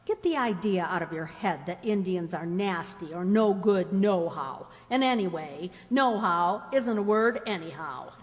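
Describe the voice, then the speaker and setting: A woman's voice: lowish voice, expressive, a little slow, hardly any pauses. A woman playing a bookish, principled young frontier woman, an earnest and instructive elder sister in a radio play. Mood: firm, earnest. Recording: reverberant hall-like room.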